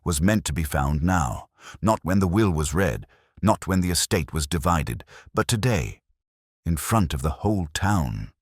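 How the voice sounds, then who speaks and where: A grave police inspector from a mystery audiobook, read by a man, with an extremely low voice. He speaks softly and deliberately, perceptive and serious, in a dry, close sound. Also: expressive, no echo, full character voice. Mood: grave, certain. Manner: soft, deliberate, murmured.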